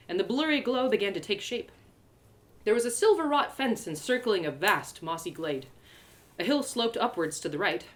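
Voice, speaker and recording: A woman reading her fantasy story aloud in a theatrical, expressive first-person narration. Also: on the low side. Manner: theatrical, animated delivery.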